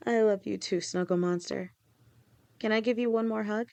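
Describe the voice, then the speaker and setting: A devoted serpent-tailed fiancée, voiced by a woman, talks softly and reassuringly in an audio roleplay. Her voice sits at an ordinary middle pitch, affectionate and steady, protective of the one she loves. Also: vocal fry, clear, resonant tone. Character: loving female lamia fiancée, protective and reassuring. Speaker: voice actor.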